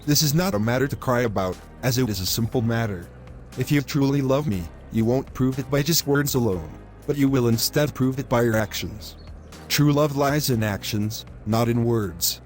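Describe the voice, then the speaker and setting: A man acting a pushy, low-voiced selfish boyfriend over music. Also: normal speed, lightly acted. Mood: insistent, aggrieved. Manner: formal wordy phrasing, insistent. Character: pushy, selfish young boyfriend pressuring his girlfriend. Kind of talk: scripted moral-story dialogue.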